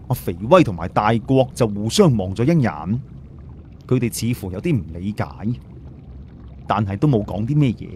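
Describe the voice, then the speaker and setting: A man's voice: medium pitch, a little mellow, slight background noise. A man with a Cantonese accent narrating a scripted audio story as a storyteller.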